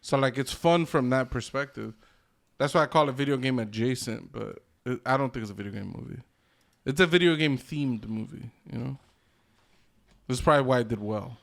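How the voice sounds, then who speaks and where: A man's voice: mid-range voice, fairly quick, creaky. A man co-hosting a podcast, chatting casually with enthusiasm, plenty of slang and swearing. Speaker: podcast co-host. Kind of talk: casual group chat about video game movies.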